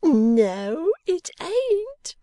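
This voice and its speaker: A woman voicing a warm-hearted, delighted old working-class wife in a classic novel reading, with folksy, exclamatory speech. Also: medium pitch, very animated, unhurried, dry recording, studio quality, pitched above the performer's own voice. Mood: teasing, excited.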